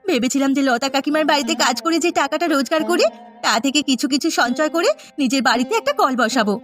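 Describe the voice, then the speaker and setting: Cartoon village maid, voiced by a woman: young, earnest, imploring, very high-pitched. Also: fast, fairly bright tone, faint music behind. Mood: despairing. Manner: plaintive, imploring. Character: poor, earnest, pleading young village housemaid. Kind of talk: Bengali cartoon story voice acting.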